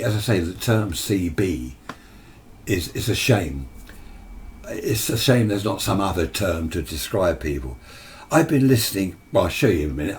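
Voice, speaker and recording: A man's voice: low voice, a little mellow, slight background noise. A British man giving an informal, opinionated explainer in a conversational way, as a radio hobby presenter. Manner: opinionated, conversational, mimics imagined replies. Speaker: amateur radio video presenter.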